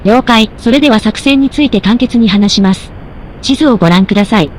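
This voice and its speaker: A woman's voice: fairly high pitch, a little fast, a little hiss or hum, natural voice, rough recording. A woman playing a strict commander, formal and controlled, as if briefing an operation. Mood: composed, businesslike. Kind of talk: formal briefing in audio drama.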